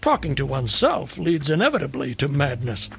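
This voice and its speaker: An actor playing a garrulous, whimsical, hard-drinking drifter in a radio drama, with wry, theatrical, wordy phrasing. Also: male voice, mid-range voice, big pitch swings, brisk, creaky, fairly bright tone, a little hiss or hum. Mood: florid, musing.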